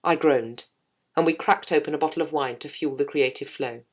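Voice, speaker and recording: Middle-aged woman, very low voice, dry humorous read of her own blog. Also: fairly quick. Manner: wry, self-mocking humour. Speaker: jazz singer and podcast host.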